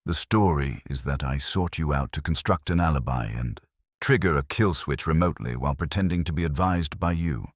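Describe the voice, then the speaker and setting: A man's voice: rumbling low, brisk, dry recording, clean studio sound. A man voicing a composed, defiant businesswoman client, precise and firm, in a mystery audiobook. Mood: tense, factual.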